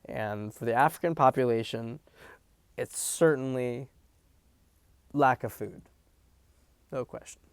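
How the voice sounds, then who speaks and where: A man's voice: medium pitch, takes it slowly, long pauses, clean tone, no room sound. A man hosting a political commentary stream, talking bluntly and emphatically, swearing freely and often restarting his sentences. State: hiccups. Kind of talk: profane livestream commentary.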